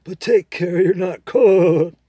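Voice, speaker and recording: A man voicing a proud, scornful male talking horse in a storybook reading, loud and lecturing. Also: high-pitched, slow, husky, dry recording, pitched above the performer's own voice, full character voice. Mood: confiding. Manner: haughty, lecturing, loud and emphatic.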